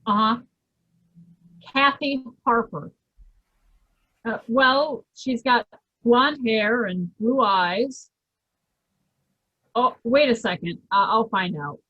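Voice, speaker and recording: Woman acting a husky, halting neighbour, slow-spoken. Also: on the high side, highs cut off. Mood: concerned, reassuring. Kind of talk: radio play acting.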